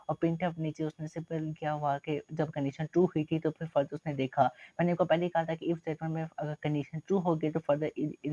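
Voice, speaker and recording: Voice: mid-range voice, dark tone. A teacher with a Pakistani accent giving a recorded exam-prep lecture, working through each step patiently and repeating points. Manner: patient, repetitive explaining, steps worked through slowly. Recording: dull lo-fi recording.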